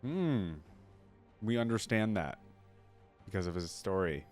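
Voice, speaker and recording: A man's voice: lowish voice, very animated, unhurried, faint music behind. A man hosting a reaction video in a casual, curious way, thinking aloud as he reads and comments.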